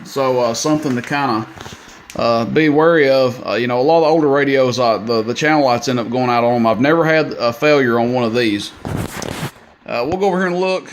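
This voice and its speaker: A man with a mid-pitched voice talks through a piece of equipment in a casual demonstration. His wording is plain, folksy and a little hesitant. The amateur recording carries clear background noise. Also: brisk. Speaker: radio seller demonstrating equipment.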